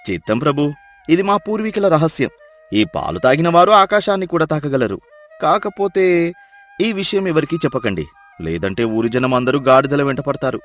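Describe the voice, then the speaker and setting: A man's voice: on the high side, expressive, moderate pace, lightly acted. A man playing an obsequious village healer and henchman who heaps exaggerated praise on his lord in a dramatised moral story. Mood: fawning, boastful. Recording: music behind the voice.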